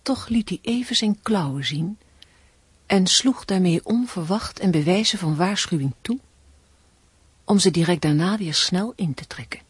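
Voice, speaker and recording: Suspense radio drama, female, husky whispery tone, close dry sound, measured and hushed. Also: fairly low pitch, expressive, no room sound, full character voice. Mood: ominous, measured. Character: woman gently questioning, narrating a suspense story. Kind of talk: radio play acting and narration.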